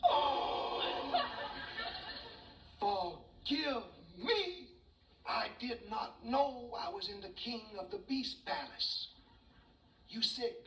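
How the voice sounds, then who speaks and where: A man's voice: high-pitched, animated, a little fast, bright, forceful tone, pitched above the performer's own voice. A man voicing a small, cheerful, polite mouse in a folksy comic fable, eager and pleading. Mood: startled, apologetic. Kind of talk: comic fable storytelling on stage. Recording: roomy, distant, noisy old recording.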